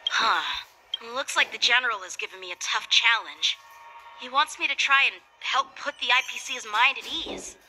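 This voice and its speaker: A woman's voice: on the high side, normal speed, pushed and bright, soft background music, poor audio. A woman voicing spirited, earnest young swordfighters in an anime-style video game.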